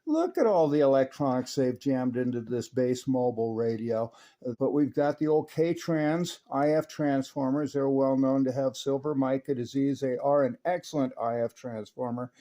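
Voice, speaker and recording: A man's voice: mid-range voice, moderate pace, warm tone. Enthusiastic man reviewing an old radio. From Southern California. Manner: enthusiastic, uses radio operator lingo. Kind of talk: hobby tech review and on-air radio chat.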